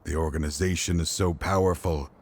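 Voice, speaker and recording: An actor playing a weary, remorseful middle-aged father and former partner in a video game, speaking in a grave, measured, confiding way. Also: a bass voice, fairly even intonation, a little mellow. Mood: remorseful, resolute. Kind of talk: dramatic video game voice acting.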